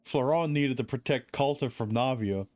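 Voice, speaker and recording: A streamer giving casual, wry, halting live commentary over a video game. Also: mid-range voice, a little mellow, no echo.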